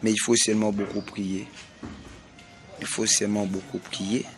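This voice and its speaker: A man from Congo with a Congolese French accent, delivering a slow, repetitive prophetic sermon. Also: lowish voice, level tone, soft background music. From Congo (DRC). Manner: slow, repetitive, emphatic pronouncements. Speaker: self-styled prophet.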